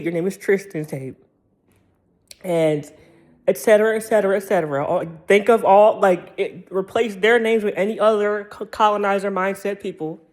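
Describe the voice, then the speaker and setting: A man's voice: high voice, a little mellow. An American man in his thirties giving a casual, opinionated monologue in a sassy, slangy and emphatic way, often repeating his phrases. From United States. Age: 30s. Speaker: commentator.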